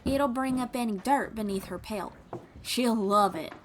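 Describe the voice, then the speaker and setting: A woman's voice: fairly high pitch, measured pace, noisy background, close to an everyday voice. A woman playing a friendly young traveling bard in an audio roleplay, encouraging and reassuring, with a folksy drawl and casual phrasing. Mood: patient, instructive. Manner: encouraging, reassuring, gently guiding. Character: friendly young female traveling bard who teaches riding. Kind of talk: second-person audio roleplay acting.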